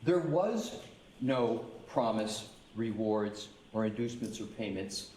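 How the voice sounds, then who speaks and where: A man's voice: fairly low pitch, expressive, slow, a little mellow. A male defense attorney arguing in court, recorded in an echoey courtroom from a distance. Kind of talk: courtroom argument.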